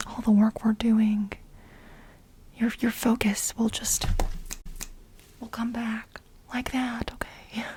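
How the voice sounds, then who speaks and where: A woman in a soft-spoken ASMR role play as a fawning female lab assistant, mostly whispering in a breathy, halting, coaxing way. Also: a little high, little pitch movement, a little hiss or hum. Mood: apologetic, coaxing. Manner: halting, coaxing delivery with repeated phrases.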